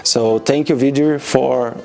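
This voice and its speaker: A man from Brazil, a native Portuguese speaker with a Brazilian accent, answering interview questions carefully, with some pauses and restarts. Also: medium pitch, fairly even intonation, creaky, darkish tone, soft background music. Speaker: automation specialist interviewee.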